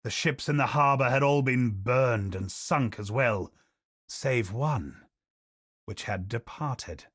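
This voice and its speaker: A man voicing a game character who recounts a dark war tale in slow, grave storytelling. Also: medium pitch, breathy edge, dry recording, studio quality. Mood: somber. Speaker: game character narrating lore. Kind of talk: video game voice lines, lore recounting.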